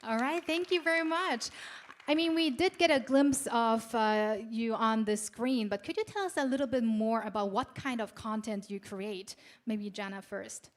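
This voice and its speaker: A woman's voice: fairly high pitch, moderate pace. A woman hosting a live ceremony talk show, with a polished, upbeat emcee delivery. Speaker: event host and talk show moderator.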